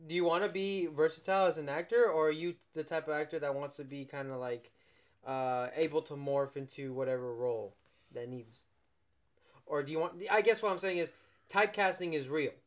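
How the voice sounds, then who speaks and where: A man's voice: fairly high pitch, a little fast. A man hosting a casual chat podcast, easygoing and affirming, interviewing a guest. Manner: easygoing, lots of affirming yeahs. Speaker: podcast host.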